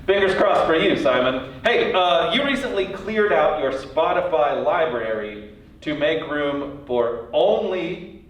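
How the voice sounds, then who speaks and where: A man's voice: fairly high pitch, lively intonation, measured pace. A man hosting a casual, informal interview in a playful way. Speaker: interviewer, host. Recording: very echoey, distant, dull sound, faint noise.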